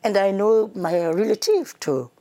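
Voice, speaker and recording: A woman's voice: mid-range voice, lively intonation, a little slow, mellow. Woman speaking hesitant non-native English. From Senegal. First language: Wolof or other languages of Senegal. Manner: hesitant, many fillers and restarts, laughs readily. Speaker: Member of the European Parliament, guest.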